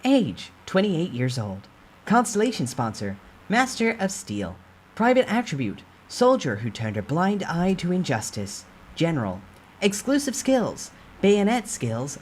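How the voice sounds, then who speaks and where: A woman voicing an impersonal game-like system announcer, formally reading out stats in measured phrases. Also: lowish voice, a little mellow, slight background noise.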